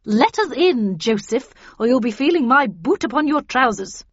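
A woman voicing an imperious, impatient older lady with a commanding, brisk and formally polite manner. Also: on the high side, very animated, no echo, dull audio, voice pushed up.

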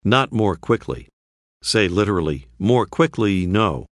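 A man narrating a language course, calmly prompting the learner with phrases to say. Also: fairly low pitch, dry recording, clean studio sound. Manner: slow, clear, prompting the learner. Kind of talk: scripted instructional narration.

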